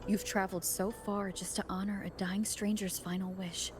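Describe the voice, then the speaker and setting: A woman's voice: medium pitch, little pitch movement, moderate pace, lightly acted. A woman playing a solemn, resolute young wanderer in a video game, earnest and restrained. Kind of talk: dramatic video game acting. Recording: loud music behind the voice.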